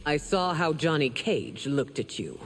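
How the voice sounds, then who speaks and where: A woman's voice: low voice, big pitch swings, unhurried, long reverb tail, very noisy. An actress playing a proud, stern empress and mother in a video game, speaking formally and imperiously. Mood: stern, measured. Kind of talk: dramatic video game dialogue.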